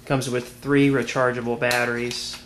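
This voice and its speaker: An American man giving an informal product demonstration as a video reviewer, plain-spoken and unhurried. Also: mid-range voice, flat delivery. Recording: clearly noisy amateur video sound.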